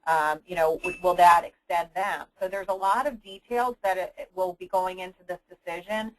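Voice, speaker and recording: A woman hosting an informal business briefing for agents, explaining things in an instructive, deliberate way with pauses mid-sentence. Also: fairly low pitch, steady tone, rough recording. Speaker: host of an insurance agent conference call.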